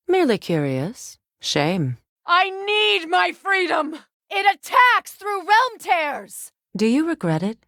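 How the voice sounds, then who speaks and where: An actress playing a proud, wise warrior sorceress in a video game, with measured, formal delivery and dry wit. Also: female voice, fairly low pitch, a bit bright, no room sound. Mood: urgent battle shouting, then dry, teasing. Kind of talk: video game character dialogue.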